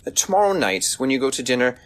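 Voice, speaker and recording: An actor playing a confident, analytical detective in an audio drama, speaking in a measured, formal way. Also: male voice, medium pitch, very animated, natural voice. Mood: instructing, reassuring.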